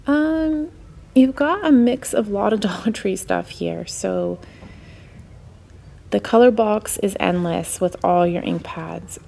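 A woman's voice: fairly low pitch, creaky, mellow, a little hiss or hum. A woman giving a casual, chatty and enthusiastic running narration as a shopping vlogger. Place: indoors, in a store aisle.

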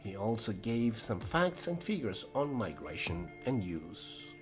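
A man's voice: fairly low pitch, sing-song, unhurried, soft background music. A man reading a scripted news-style voice-over summarizing a panel event. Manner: reportorial, reading. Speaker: narrator.

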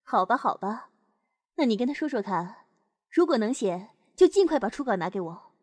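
A young woman playing a composed high school student leader in an amateur audio drama. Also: sing-song, moderate pace, a little mellow, dry recording. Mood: composed, curious.

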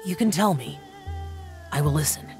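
A man plays a reserved, otherworldly young guardian from a video game. He speaks quietly and stiffly, with soft music beneath. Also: a little high, expressive, normal speed, lightly acted. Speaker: game character.

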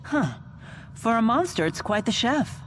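A woman's voice: fairly high pitch, sing-song, unhurried, slight background noise. A woman voicing a stately, blunt young woman in a video game, formal, assured and matter-of-fact. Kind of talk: English video game voice acting. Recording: game music behind the voice.

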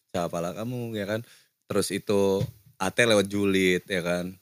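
Low-voiced young man hosting a casual chat. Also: moderate pace, no room sound. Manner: relaxed, rambling, agreeable. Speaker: podcast host. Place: small decorated cafe studio, indoors. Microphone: desk mic with headphones.

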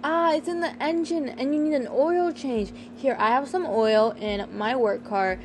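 Woman as a high-voiced, brisk grown daughter. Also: noisy background. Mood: focused. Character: capable, busy grown daughter, a doctor, hurt but forgiving. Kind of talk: amateur voice-acted roleplay drama.

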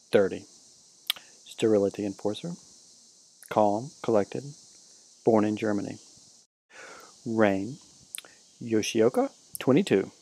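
Deadpan man narrating a mock documentary. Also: on the low side, gravelly, husky, rough recording. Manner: deadpan, listing roll call. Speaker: narrator of a class parody film.

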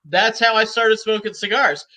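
A woman in her sixties, talking as a guest on a video call from home, chatty and easygoing and quick to laugh. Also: fairly high pitch, fairly even intonation, sharp tone. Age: 60s. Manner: talkative and easygoing, laughs often. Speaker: guest, cigar shop owner. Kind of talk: casual interview chat. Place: room at home.

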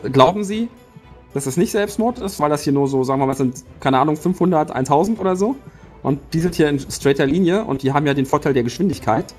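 A man's voice: fairly high pitch, fast talker, darkish tone, soft background music. A man playing a tabletop game, working out a battle plan aloud and restarting his sentences as he thinks. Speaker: player. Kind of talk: tabletop strategy discussion.

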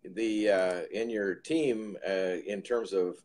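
A man's voice: fairly low pitch, fairly even intonation, slow, a little mellow. A man in his fifties hosting an interview over a video call, chatty and rambling. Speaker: host. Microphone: video call with earbud headset.

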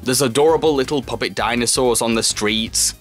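A man's voice: mid-range voice, a little fast. Enthusiastic young man reviewing. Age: young adult. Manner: enthusiastic, emphatic. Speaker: film reviewer, video host. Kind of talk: scripted review narration. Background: background music.

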